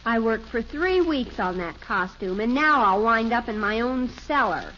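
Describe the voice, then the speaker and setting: An actress playing a dramatic, sulky American teenage daughter in an old radio sitcom, plaintive and emphatic. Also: female voice, a little high, lively intonation, normal speed, a bit bright. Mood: disappointed, sulky. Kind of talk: 1950s radio sitcom acting. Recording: dull, noisy old radio broadcast recording.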